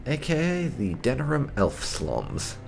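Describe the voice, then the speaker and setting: A man's voice: medium pitch, wildly swooping pitch, a little slow, gravelly, soft background music. A man hosting a gaming video, giving casual let's play commentary in a wry, chatty way.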